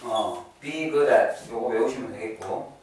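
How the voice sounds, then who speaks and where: A man's voice: mid-range voice, slow pace, darkish tone. A man teaching English grammar in a lecture style, emphatically repeating key terms and asking questions he answers himself. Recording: echoey room, distant mic, faint noise.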